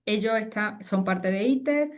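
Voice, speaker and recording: A woman, a guest expert speaking in a conversational webinar interview, animated and explanatory with frequent fillers and restarts. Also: medium pitch, measured pace. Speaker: guest expert on fusion energy.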